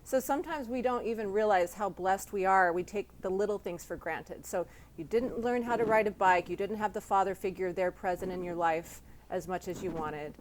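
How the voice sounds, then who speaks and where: Female host for a sit-down interview. Effusive, supportive, medium pitch. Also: normal speed, few pauses, a little hiss or hum, low-quality recording. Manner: effusive, complimentary, empathetic.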